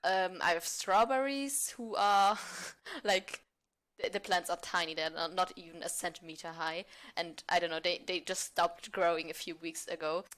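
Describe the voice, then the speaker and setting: A woman's voice: fairly low pitch, normal speed, fairly bright tone. A young woman talking casually and conversationally as a guest in an interview. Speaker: podcast guest, amateur theatre member. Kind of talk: casual chat.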